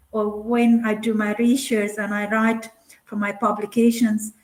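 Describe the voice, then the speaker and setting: Casting: middle-aged woman from Nepal, second-language English, slightly high voice, earnest keynote speaker with plenty of "um" and restarts. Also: poor audio. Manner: earnest, many fillers and restarts. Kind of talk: conference keynote talk.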